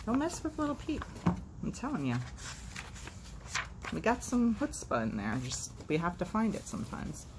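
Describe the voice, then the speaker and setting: A woman's voice: mid-range voice, wildly swooping pitch, normal speed, pauses often. A woman hosting storytime, reading expressively and dropping in playful, sardonic, chatty asides. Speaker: storytime host. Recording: faint background noise.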